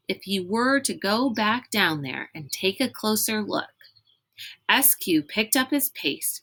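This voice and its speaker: A woman reading a children's novel aloud in an expressive, dramatic storytelling manner. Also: medium pitch. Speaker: reader reading a book aloud.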